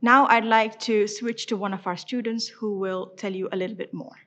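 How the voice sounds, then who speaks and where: Senior lecturer, woman, mid-pitched, measured program overview with filler pauses. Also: steady tone, fairly bright tone, a little hiss or hum. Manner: measured, with frequent ums. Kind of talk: program presentation.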